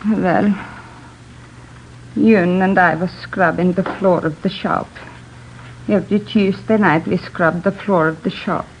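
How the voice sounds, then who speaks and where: A woman playing a shaken, weary middle-aged Swedish immigrant mother in a 1950s radio drama, speaking halting, polite English with a Swedish accent. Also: on the low side, steady tone. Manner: halting, simple non-native phrasing. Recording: muffled old noisy radio recording.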